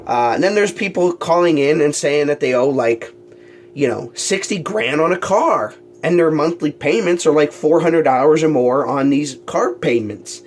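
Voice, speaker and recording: Congested male vlog host, slightly high voice, rambling solo monologue, faint hum. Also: animated, normal speed. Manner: rambling, full of ums and restarts. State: congested from allergies and a cold. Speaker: talk show host. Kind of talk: casual solo monologue to camera.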